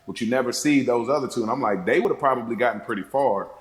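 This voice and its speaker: A man's voice: medium pitch, fast. A man speaking as a podcast guest in an informal, rambling film discussion, recorded with a roomy echo. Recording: roomy, echoing sound.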